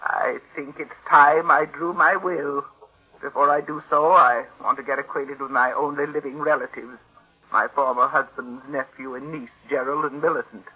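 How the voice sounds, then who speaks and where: A stubborn, wealthy old recluse in an old-time radio drama. Her voice is husky and somewhat low-fi on a muffled vintage recording. She is curt, imperious and suspicious of everyone. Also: steady tone, normal speed. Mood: cool, appraising. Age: older. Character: bitter, stubborn, reclusive rich old invalid aunt. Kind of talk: 1940s radio acting.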